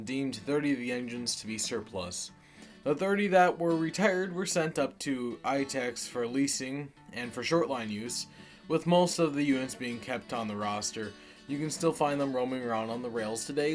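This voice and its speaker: An American man hosting a video series, telling stories and chatting casually to viewers in a folksy way. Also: mid-range voice. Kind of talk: casual scripted storytelling and chat to viewers.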